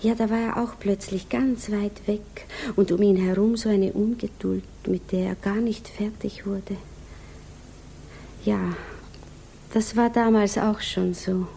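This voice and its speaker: An actress playing an anxious, yearning provincial widow in a 1950s German radio drama, musing hesitantly. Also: female voice, medium pitch, steady tone, a little slow, vocal fry, warm tone, low-quality recording. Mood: wistful, musing. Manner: hesitant, musing inner monologue. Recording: hissy 1950s radio recording.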